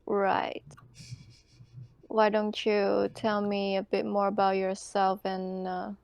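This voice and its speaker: A woman's voice: mid-range voice, completely flat delivery, takes it slowly, creaky, clean tone, no room sound, dull audio. A woman playing a tabletop role-playing game, speaking in character and chatting at the table with hesitant phrasing. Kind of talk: in-character role-play dialogue and table chat.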